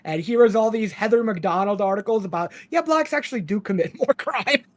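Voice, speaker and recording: A man's voice: high voice, lively intonation, fairly quick. A man who grew up in Ukraine, a podcast guest in casual chat, rambling and sardonic, laughing often.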